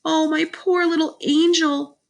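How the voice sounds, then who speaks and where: A woman's voice: sits low, normal speed, fairly bright tone. Woman playing an emphatic, fretful mother. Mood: doting, alarmed. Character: fretful mother, doting on toddler, stern with older son.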